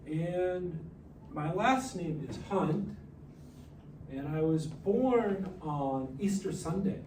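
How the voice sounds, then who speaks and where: Man, forties, from Hawaii; unhurried, measured self-introduction with plenty of pauses; sounds a little distant in a reverberant room. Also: a little high, warm tone, a little hiss or hum. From Hawaii, raised partly in New Jersey. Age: 40s. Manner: slow and careful, pausing often with "uh" and "so". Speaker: presenter introducing himself to a class. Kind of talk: slow, deliberate self-introduction with slides. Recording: echoey room, voice a bit far from the mic, faint music.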